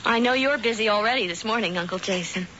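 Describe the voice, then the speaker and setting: An actress playing a polite, trusting young lodger, earnest and eager to please, in a 1970s radio drama. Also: female voice, medium pitch, quick pace, bright, forceful tone, lightly acted. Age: young adult. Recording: dull, noisy 1970s radio broadcast.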